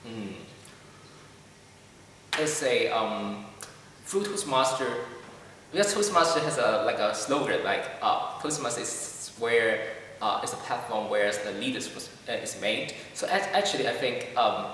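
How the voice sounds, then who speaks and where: A man's voice: mid-range voice, animated, measured pace, a bit bright, slight background noise. Guy talking english as a second language, lots of ums and restarts, sounds far away in a big echoey hall. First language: not English. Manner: hesitant, many fillers and restarts. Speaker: club member. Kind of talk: casual interview.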